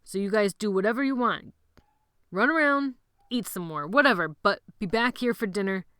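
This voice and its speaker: A woman voicing a brash, bossy teenage boy who leads a gang of boys, loud and rallying in an audiobook. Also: mid-range voice, normal speed, clear, resonant tone, dry recording, voice pushed up, natural voice. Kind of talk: audiobook character voice.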